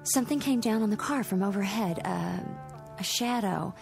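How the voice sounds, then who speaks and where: An actress playing Millie, a sharp-tongued wife trading teasing retorts in an old radio drama. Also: female voice, medium pitch, moderate pace, creaky, natural voice. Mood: recalling. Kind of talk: 1950s radio drama acting. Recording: old radio recording with music.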